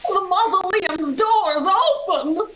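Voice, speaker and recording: Woman playing a very high-voiced, timid damsel. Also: lively intonation, takes it slowly, a bit bright, heavily acted. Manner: fretful melodrama. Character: timid, frightened young damsel, Joycey. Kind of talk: amateur radio play reading. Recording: echoey, noisy room recording.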